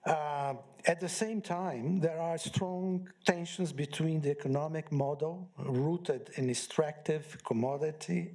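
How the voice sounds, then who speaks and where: A man from Brazil, a law professor on a conference panel, speaking in a measured way with pauses and fillers. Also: fairly high pitch, a little mellow. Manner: measured, pausing, frequent fillers.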